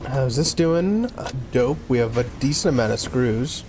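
A man talks easily with a friend while they play a building game. He is relaxed, laughs often, and speaks at a middling pitch over steady game music. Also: normal speed. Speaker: co-op gameplay player. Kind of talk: casual gaming chat.

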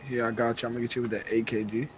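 A man with a mid-pitched voice chats casually as he plays an online roleplay game. His talk is slangy and sweary, with faint vehicle and game ambience behind it. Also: level tone, racing, a little hiss or hum. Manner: slangy, profane. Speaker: gaming video creator playing online roleplay.

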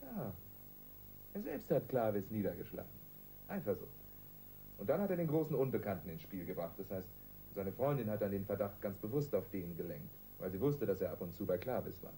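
A young detective in a German radio crime drama. His voice is mellow and lively in pitch, earnest and doubting yet persistent. Faint noise sits behind it. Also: a little fast. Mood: questioning, insistent. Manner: hesitant but persistent, earnest. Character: earnest, doubting young male detective, aged twenty-two.

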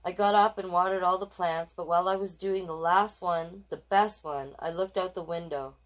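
A woman's voice: on the low side, fairly even intonation, normal speed, low-quality recording. A woman hosting a children's storytime, reading picture books aloud with playful, encouraging asides to young listeners. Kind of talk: picture-book read-aloud with chatty asides.

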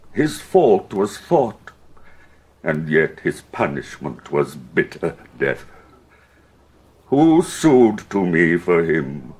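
Sorrowful, wrathful monarch, low husky voice, very slow classical declamation. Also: male voice, full character voice. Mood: anguished, indignant. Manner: declamatory, slow and anguished, with heavy pauses. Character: grief-stricken, wrathful, ailing English king. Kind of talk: classical Shakespearean audio drama acting.

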